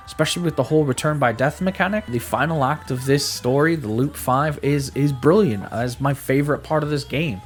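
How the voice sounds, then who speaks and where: A man giving a casual, conversational video game review, speaking emphatically and often stammering or repeating his words. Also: fairly high pitch.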